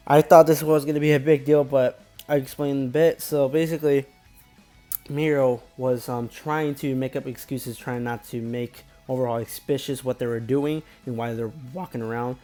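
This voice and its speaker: Male reviewer, medium pitch, mellow tone, casual unscripted recap, rambling and filler-heavy, light music underneath. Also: fairly even intonation, normal speed. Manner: rambling, repetitive filler phrases, stumbling over names. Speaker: anime episode reviewer.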